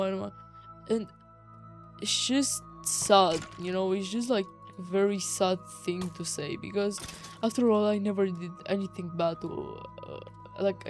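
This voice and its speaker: A woman's voice: mid-range voice, darkish tone. A teenage young woman from Romania with a Romanian accent, giving a casual personal rant in an exasperated, slangy, emphatic way peppered with swearing. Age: teenager, high schooler. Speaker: vlogger.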